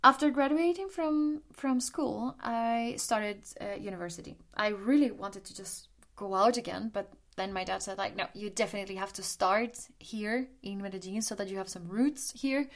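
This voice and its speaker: A woman's voice: mid-range voice, moderate pace, few pauses. Colombian-born woman telling her life story. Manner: chatty, frequent restarts and fillers, laughs while telling. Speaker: podcast host. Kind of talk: personal storytelling monologue.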